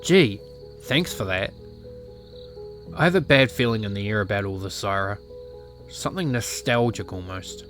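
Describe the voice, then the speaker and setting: A man acts out a brooding former clan leader weighed down by regret. His voice sits low, and he speaks in a measured, reflective, somber way. It is visual novel drama with music underneath. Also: expressive, a bit bright. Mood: wry, uneasy.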